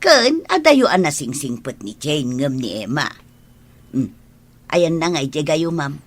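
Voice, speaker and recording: A woman playing a doting, chatty elderly Filipino mother in a radio drama, coaxing and motherly with a husky voice. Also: low voice, very animated, a little slow, exaggerated voice. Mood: pleased, matchmaking. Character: doting elderly mother, a cheerful matchmaking senior citizen.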